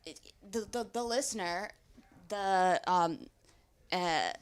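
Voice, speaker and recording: A woman's voice: lowish voice, slow, smooth. Hesitant woman in a group discussion. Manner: hesitant, searching for words, repeating herself. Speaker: discussion participant. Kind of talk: informal spoken interpretation. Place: live group event.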